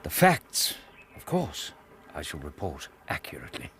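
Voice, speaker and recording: A man playing an amiable, dependable English gentleman, a detective's companion, in a radio drama, genial and measured. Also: very deep voice, wildly swooping pitch, slight background noise, exaggerated voice. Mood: genial, content. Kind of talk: British radio drama acting.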